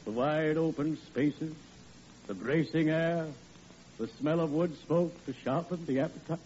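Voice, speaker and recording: A man's voice: on the high side, a little slow, a little mellow, close to an everyday voice. An actor playing a cheerful, morbid male undertaker with sweet, suave, drawling delivery in 1940s radio acting, on an old lo-fi recording with hiss. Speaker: undertaker character in a radio comedy.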